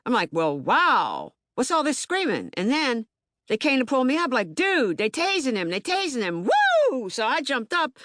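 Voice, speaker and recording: A woman's voice: sits high, wildly swooping pitch, moderate pace, a bit bright, dry recording, studio quality, voice pushed up, full character voice. A woman performing a theater monologue as an agitated, streetwise young man, speaking emphatically and colloquially with stammered repetitions. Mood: agitated, vivid. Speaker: actor in documentary theater. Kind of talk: dramatic monologue, audiobook theater.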